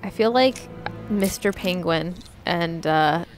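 A woman's voice: on the low side, slow, faint music behind. A woman hosting a reaction video, chatty and jokey with lots of fillers. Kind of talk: casual reaction chat. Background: game audio playing.

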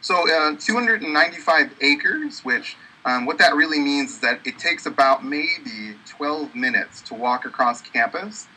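A man's voice: on the high side, lively intonation, unhurried, few pauses, sharp tone, breathy edge, rough recording. Upbeat man on a video call. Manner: upbeat, eager, many fillers. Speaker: university admissions representative. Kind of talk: enthusiastic promotional talk. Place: indoor office on video call. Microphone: webcam on video call.

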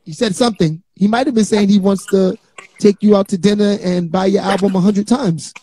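New man hosting, middle-aged, joking, mellow husky voice, close dry mic. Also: high voice, steady tone, a little fast, dry recording. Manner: joking, laid-back banter. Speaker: host. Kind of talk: casual live-stream interview. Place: small home studio indoors. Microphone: handheld studio mic on a stand.

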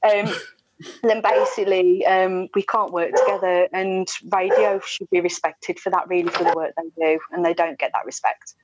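A woman's voice: fairly low pitch, steady tone, normal speed, dull audio, background noise. A woman in her forties with a British accent, talking candidly and emphatically as a radio presenter being interviewed over a video call. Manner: chatty, emphatic, with mimicked asides. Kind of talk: candid conversational interview talk. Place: indoor room at home, video call. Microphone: wired earphone headset on video call.

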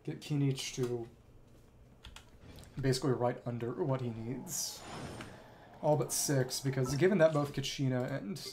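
A man's voice: medium pitch, darkish tone, slight background noise. Young man thinking aloud while gaming. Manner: rambling, thinking aloud to himself. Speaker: video game streamer. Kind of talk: casual gameplay commentary. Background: game music and effects.

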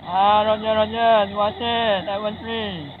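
A man's voice: sits high, level tone, moderate pace. A middle-aged man making amateur radio calls outdoors in short, clipped phonetic-alphabet phrases. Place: outdoors in a park under trees. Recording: tinny narrowband sound, heavy noise.

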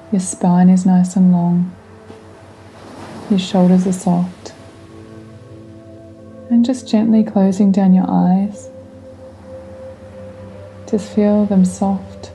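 A woman guiding a meditation in a gentle, soothing, unhurried way, speaking in calm instructions. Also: mid-range voice, rough recording. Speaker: meditation guide. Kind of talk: guided meditation. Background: relaxing music.

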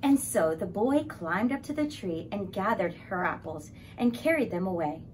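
Lively woman reading from a distance. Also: medium pitch, moderate pace. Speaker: elementary school staff reader. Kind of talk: expressive storybook read-aloud. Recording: distant mic, faint room noise.

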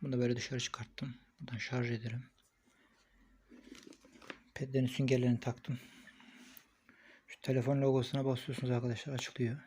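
A man's voice: mid-range voice, flat delivery, a little fast, long pauses, darkish tone, slight background noise. A man giving a casual, hands-on product review, thinking aloud informally as he records on a phone. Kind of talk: casual hands-on unboxing and installation commentary.

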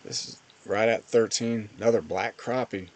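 A man's voice: fairly low pitch, steady tone, moderate pace. A man giving casual, plain-spoken voice-over commentary on fishing footage as host of a fishing channel.

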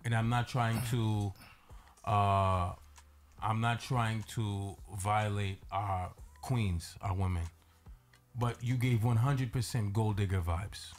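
A man co-hosting a studio talk show who talks emphatically, stretching words for effect and backing others with quick agreement. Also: fairly low pitch, fairly even intonation. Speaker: co-host. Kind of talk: casual talk show chat. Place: small radio studio. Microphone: studio mic on a boom arm.

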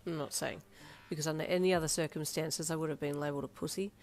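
A woman acts out a cocky young boyfriend from an audiobook romance. He speaks low and soft, with dry, unhurried teasing and a smirk in his words. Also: fairly even intonation, soft background music.

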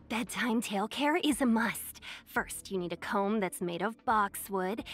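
A woman voicing a young fox-eared merchant in a video game, silver-tongued, polite and playfully coy. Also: on the high side. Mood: sweet, coy. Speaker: game character voice. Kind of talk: scripted video game character voice lines.

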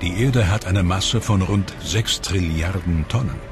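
A man reading scripted documentary narration in a measured, dramatic way. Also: low voice. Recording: voice-over with background music.

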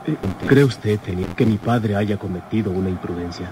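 An actor playing a worried, respectful son in an old radio serial, hesitant and polite. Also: male voice, lowish voice, moderate pace, noisy background, close to an everyday voice. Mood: anxious.

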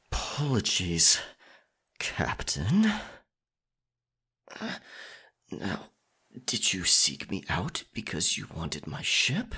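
A man playing a captured, proud and defiant pirate captain in an ASMR roleplay, with theatrical old seafaring phrasing and a husky, breathy voice. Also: on the low side, a bit bright, full character voice. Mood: reluctantly compliant.

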